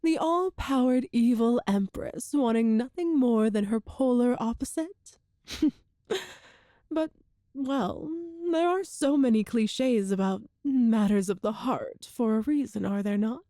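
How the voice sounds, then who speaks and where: A woman playing a cruel, smug, seductive empress in a scripted ASMR roleplay, speaking formally and measuredly with lingering pauses. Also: a little high, dark tone, no room sound, studio quality, lightly acted. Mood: wry, seductive. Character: cruel all-powerful evil empress, coolly seductive and smug.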